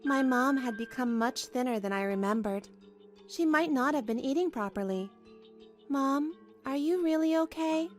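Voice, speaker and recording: High-voiced, long-suffering young wife played by an actress. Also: female voice, animated, moderate pace. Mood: worried. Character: put-upon young wife, anxious, earnest, long-suffering. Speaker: narrator and lead of a manga dub. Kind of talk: dramatised first-person story narration. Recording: music behind the voice.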